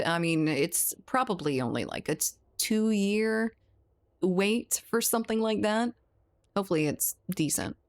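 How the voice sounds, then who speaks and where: A woman from South Louisiana streaming a game, chatting casually with her viewers and laughing often. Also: on the low side. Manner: chatty, laughs often, reads and answers chat. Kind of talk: casual live-stream chat while gaming.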